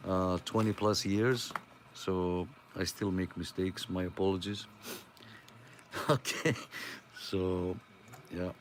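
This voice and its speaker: A middle-aged man with a Greek accent and a fairly low voice, chatting on air as the host of a CB radio gathering. He speaks in a friendly, rambling way and pauses often on fillers. A faint hiss of noise sits under his voice. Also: level tone. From Greece. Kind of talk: casual on-air radio chat.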